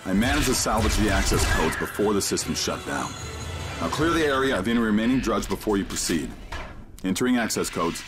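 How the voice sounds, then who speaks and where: An actor playing a mysterious male handler who guides an agent by radio, calm, commanding and instructive. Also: lowish voice, brisk, close to an everyday voice. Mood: steady guidance. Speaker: mission guide in a video game. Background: game score music.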